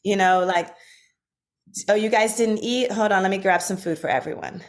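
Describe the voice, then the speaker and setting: A woman guest on a podcast from Washington, D.C., telling childhood stories in a relaxed, animated way with lots of fillers. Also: mid-range voice, normal speed. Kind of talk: casual conversation.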